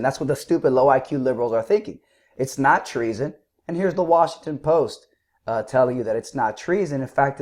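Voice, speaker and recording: A man with a sore throat giving combative, scornful political commentary, clearing his throat now and then. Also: mid-range voice, a little mellow. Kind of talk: opinionated commentary.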